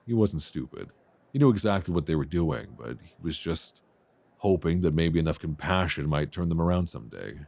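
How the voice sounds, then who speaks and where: A man's voice: low voice, creaky, darkish tone, dry recording, heavily acted. A man playing a chatty, easily flustered, flirtatious airline passenger in a romance roleplay audio, rambling and hesitating in a self-deprecating way. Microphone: close binaural microphone.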